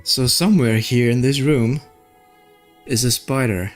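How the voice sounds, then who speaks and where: Music plays behind a streamer talking casually over a game. The voice sits at a middle pitch. The delivery is informal and grumbling, with some mild swearing. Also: measured pace. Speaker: livestreamer playing a video game. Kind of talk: casual gaming commentary.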